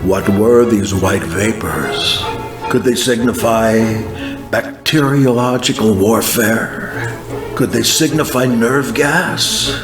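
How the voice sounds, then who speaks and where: A man gives a dramatized, scripted narration of an apocalyptic vision in a solemn, theatrical, drawn-out way. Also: fairly low pitch. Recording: roomy echo under loud music. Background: music.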